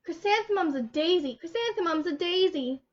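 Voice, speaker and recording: A woman's voice: very high voice, expressive, moderate pace, pitched above the performer's own voice. Woman voicing a snooty little schoolgirl, dull lo-fi audio. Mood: taunting. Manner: haughty, taunting. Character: snobbish, teasing little schoolgirl.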